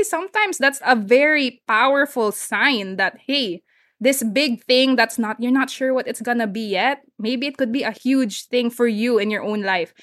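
Upbeat Filipino woman, fairly high voice. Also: fairly bright tone. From Philippines. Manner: enthusiastic, encouraging, mixes in Tagalog words. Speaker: podcast guest. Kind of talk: casual conversation.

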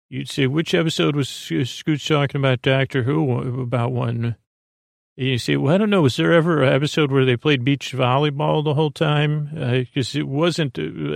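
Creaky-voiced man rambling gently to lull sleepers. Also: mid-range voice, no echo, clean studio sound. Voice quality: gravelly, creaky. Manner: meandering, halting, stammering, goofy tangents. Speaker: podcast host. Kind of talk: rambling bedtime podcast monologue, meant to lull to sleep.

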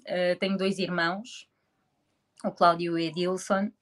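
A woman's voice: fairly low pitch, little pitch movement, normal speed, a bit bright. Chatty, playful woman in her forties. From born in Angola, grew up in Portugal. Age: 40s. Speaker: interview guest. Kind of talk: casual chat.

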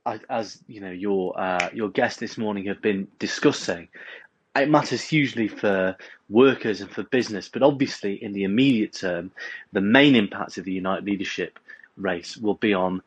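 Husky low-voiced male political pundit. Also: normal speed, muffled recording. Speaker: political editor guest. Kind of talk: analytical commentary.

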